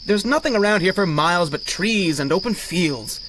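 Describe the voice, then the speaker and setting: An actor playing a brash, earnest, rather pompous novice superhero in a 1930s-style radio adventure, with exasperated delivery. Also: male voice, high-pitched, lively intonation, moderate pace, a bit bright, slight background noise, low-quality recording. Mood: skeptical, exasperated. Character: brash, indignant young male rocket-pack hero, green and stuffy.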